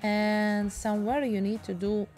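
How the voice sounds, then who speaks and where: A woman's voice: mid-range voice, little pitch movement, unhurried, darkish tone, soft background music. A woman with a Russian accent chatting in broken English while demonstrating a painting technique. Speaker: painting tutorial host.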